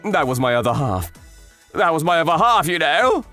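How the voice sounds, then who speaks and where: A man voicing a loud, selfish male schoolteacher who is hooked on his phone, shouting and whining in a manga dub. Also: on the high side, sing-song, normal speed, faint music behind. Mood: upset.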